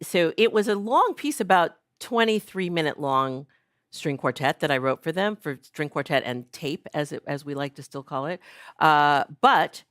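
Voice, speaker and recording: A woman's voice: low voice, no echo, clean studio sound. A woman artist giving an informal seminar talk about her work, chatty and full of fillers and restarts. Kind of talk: informal lecture. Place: seminar room.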